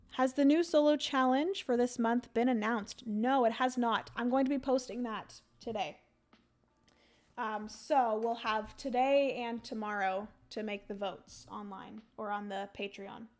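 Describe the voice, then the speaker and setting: A young woman on a livestream, talking through her moves in a solo board game and chatting with viewers, her voice going a little hoarse. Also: fairly high pitch, a little mellow. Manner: chatty, thinks aloud, greets chat viewers. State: losing her voice. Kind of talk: casual livestream play-by-play.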